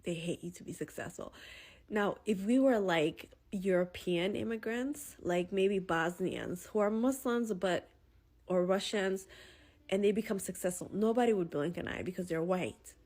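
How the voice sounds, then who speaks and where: Woman, medium pitch, casual social media rant, conversational, emphatic, repeats points. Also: normal speed, a little mellow.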